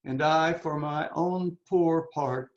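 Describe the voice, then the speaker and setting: A man performing an anguished, intense young prince in a Shakespearean dramatic reading, declaiming haltingly and dwelling on words. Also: a little high. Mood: wry, intense. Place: indoors on a video call. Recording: roomy, echoing, muffled video call audio.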